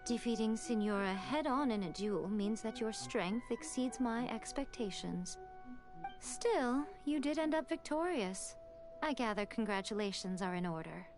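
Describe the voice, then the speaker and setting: Coy fox shrine priestess, kinda high, teasing and amused, game music under it. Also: female voice, lively intonation, a little slow. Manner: coy, amused, lightly mocking. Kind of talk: game voice acting.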